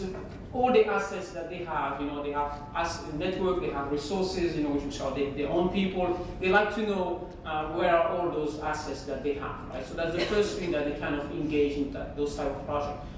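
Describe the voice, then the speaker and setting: A woman with a French accent delivers a keynote talk in an easy, conversational manner, often pausing and reaching for words. Her voice comes from a distance, with a strong wash of hall reverb and a faint low rumble underneath. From France. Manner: conversational, hesitant, many fillers. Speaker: keynote speaker. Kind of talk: conference keynote presentation. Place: large conference hall.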